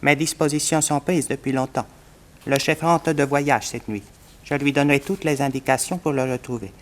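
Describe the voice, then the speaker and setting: An actor playing a secretive, stubborn Chinese thief in a French radio crime serial, terse and guarded. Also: male voice, medium pitch, fairly even intonation, normal speed, close to an everyday voice. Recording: noisy old radio recording.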